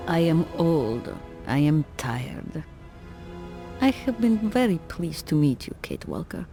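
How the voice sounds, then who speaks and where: A woman's voice: deep voice, big pitch swings, slow pace, mellow, full character voice. An actress playing a melancholy old woman, a faded star, speaking mournfully and theatrically in a video game. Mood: tired, resigned. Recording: music under the voice. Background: music.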